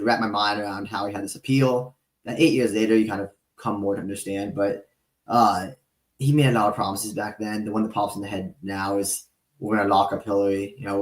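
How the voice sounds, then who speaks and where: A man in his late twenties hosts a podcast interview with a fairly low voice. He chats in a relaxed, rambling way, full of asides. His voice carries a noticeable roomy echo. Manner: relaxed, chatty, rambling with fillers and praise. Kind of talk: casual interview chat.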